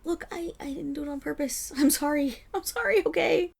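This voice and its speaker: A woman's voice: sits high, brisk, creaky, a little mellow. A woman playing a flustered, boastful female superhero who rambles defensively through a dramatic public apology speech in an audio roleplay. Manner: rambling, defensive, dramatic storytelling with nervous laughs. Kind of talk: scripted audio roleplay monologue as a press conference speech.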